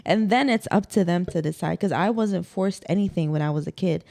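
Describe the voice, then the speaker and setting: Mellow, low-pitched woman from the UAE. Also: fairly quick, vocal fry. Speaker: podcast guest. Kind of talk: casual conversation.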